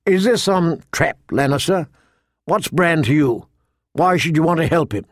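Man playing a proud, unsure young lordling, higher-pitched. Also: darkish tone, dry recording, clean studio sound. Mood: suspicious. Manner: halting, guarded. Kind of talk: audiobook character acting.

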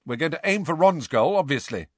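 A bossy young woman captain , put on by a male reader, barks orders, high-pitched and loud, her voice swinging up and down. The reading is close and dry. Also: sing-song, moderate pace, breathy edge, dry recording, exaggerated voice. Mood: commanding. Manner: barking, commanding.

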